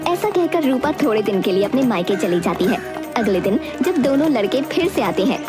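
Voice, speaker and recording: A woman's voice: fairly high pitch, big pitch swings, moderate pace. A woman narrator reading a scripted storybook tale for a cartoon. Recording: loud music under the voice.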